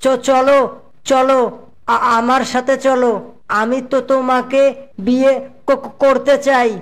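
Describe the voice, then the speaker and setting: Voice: high-pitched, completely flat delivery, slow pace, clean tone. A performer voicing a brash male fox in a cartoon, a clumsy, insistent suitor who stutters heavily. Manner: heavy stutter, repeats syllables. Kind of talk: exaggerated cartoon voice acting.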